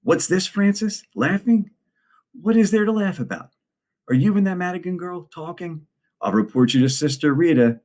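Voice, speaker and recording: A man's voice: sits high, animated, moderate pace, a little mellow, voice pushed up. A man voicing a very stern, intimidating nurse who scolds and threatens in a storybook reading. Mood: stern, scolding. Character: very stern, frightening country nurse, adult woman.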